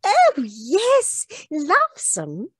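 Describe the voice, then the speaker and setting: A woman's voice: high voice, huge pitch swings, extremely slow, fairly bright tone, dry recording, studio quality, exaggerated voice. A woman playing a sweet, earnest little girl in a radio play, with an artless, careful manner. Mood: innocent, earnest. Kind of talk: old-time radio mystery acting.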